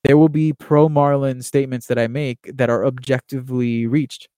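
Mellow, fast-talking young man from Miami. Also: mid-range voice, flat delivery, clear, resonant tone, no echo, clean studio sound. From Miami, Florida, USA. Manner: quick, earnest, with frequent fillers. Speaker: podcast host and baseball writer. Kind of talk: casual monologue.

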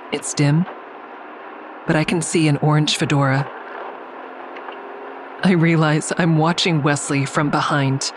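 An actor playing an anxious narrator who recounts a tense ordeal in measured first person, in an audio drama. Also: medium pitch, noisy background, lightly acted.

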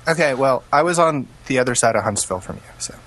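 A man's voice: medium pitch, expressive, fast talker, fairly bright tone. A man co-hosting a podcast, telling stories casually in a dry, sarcastic way. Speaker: podcast co-host. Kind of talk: casual chat and storytelling.

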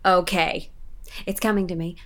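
A woman's voice: fairly low pitch, steady tone, normal speed, a bit bright, lightly acted. A woman playing a confident avant-garde director-choreographer who speaks in a dramatic, rhapsodic way. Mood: visionary. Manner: dramatic, self-assured, rhapsodic. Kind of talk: scripted audio comedy acting.

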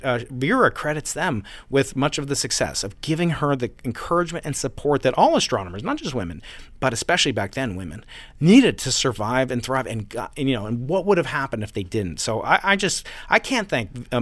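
A man, a physicist guest, telling stories and pushing back in a lively conversational interview. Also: mid-range voice, no room sound. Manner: animated, storytelling, pushes back. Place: university building.

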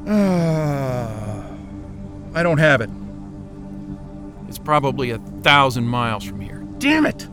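A man's voice: medium pitch, slow pace, long pauses, over music. A man playing a frantic, hot-tempered, impatient stranded man in a naturalistic audio drama. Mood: frustrated. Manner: excitable, exasperated, muttering and cursing.

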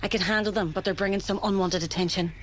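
An actress playing a demanding, hard-edged fugitive with a terse, impatient way of talking in an audio drama. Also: female voice, medium pitch, steady tone, quick pace, a little hiss or hum, natural voice.

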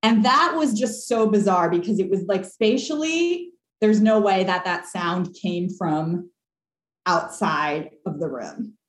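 Animated young woman in an echoey room. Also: mid-range voice, slow pace. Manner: animated, laughing, many fillers. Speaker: caller. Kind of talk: casual storytelling.

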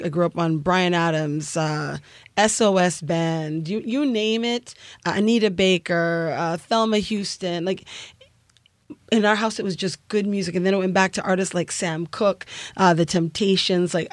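A woman's voice: on the low side, fairly even intonation, unhurried, no echo. Chatty Canadian woman singer, dry studio. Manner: chatty, laughing, many fillers. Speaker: singer guest. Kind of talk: interview storytelling.

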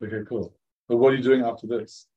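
Man, rabbi, informal class, conversational, mid-pitched, asks the audience questions, uses lots of fillers. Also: steady tone, fast talker, husky, phone-quality sound. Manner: casual and chatty, many "like" fillers, questions to the audience. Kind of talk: informal interactive lecture. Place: room with a live audience of students.

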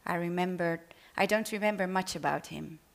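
Dutch-accented woman, lowish voice, panel author reading her own short story, hesitant. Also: moderate pace, gravelly. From Netherlands. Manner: hesitant, apologetic about her English. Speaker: author reading at a literary event.

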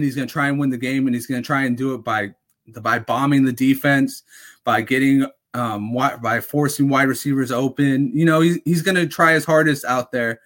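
A man's voice: mid-range voice, fairly bright tone. Rambling man talking fantasy football. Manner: rambling, full of fillers and restarts. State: slightly raspy voice. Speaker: fantasy football podcast host. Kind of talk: casual sports talk.

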